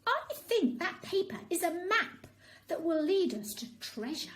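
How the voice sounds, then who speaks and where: A skinny little boy dog from a bedtime story, acted out by a woman. He is high-voiced and excitable, bossing his friend about in eager exclamations that leap up and down. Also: unhurried, exaggerated voice.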